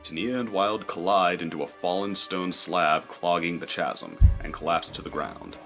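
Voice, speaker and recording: A man narrating a scripted adventure story in a measured, descriptive, dramatic storytelling style. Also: deep voice, steady tone, pushed and bright. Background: music.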